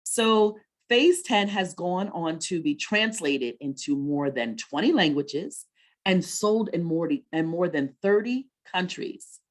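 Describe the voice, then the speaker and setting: A woman with a slightly low-pitched voice presents to children as a story time host. She speaks conversationally, like an encouraging teacher who checks in with questions and echoes back the answers she gets. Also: animated, slow.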